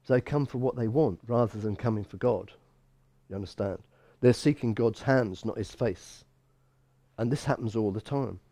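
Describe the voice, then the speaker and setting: Mellow older preacher man. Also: mid-range voice, dry recording. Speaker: bishop and pastor. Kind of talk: expository Bible teaching sermon. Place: small church room, indoors.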